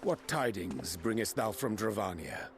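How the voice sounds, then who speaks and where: A man's voice: fairly low pitch, very animated, unhurried, music in the background. An actor playing a courtly man with archaic formal diction in a video game cutscene. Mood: formal inquiry.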